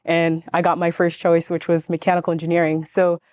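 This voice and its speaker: A woman's voice: fairly low pitch, completely flat delivery, brisk, darkish tone, dry recording. A young adult woman, introducing herself informally as a panelist and engineer. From born in Ghana, grew up in Washington state, US.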